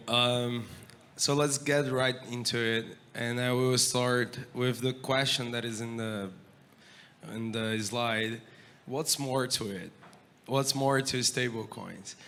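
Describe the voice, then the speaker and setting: A man's voice: mid-range voice, a bit bright. Man moderating a conference panel. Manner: loose, searching phrasing with many fillers. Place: conference stage.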